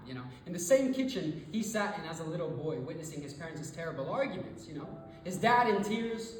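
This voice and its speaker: An actor performing a theatrical monologue as a rambling, sentimental storyteller, speaking casually with halting, emotional repetitions. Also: mid-range voice, a little hiss or hum, lightly acted. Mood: bittersweet, intense. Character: rambling, sentimental storyteller moved by a family reunion, turning zealous. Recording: very echoey hall, distant mic, music behind.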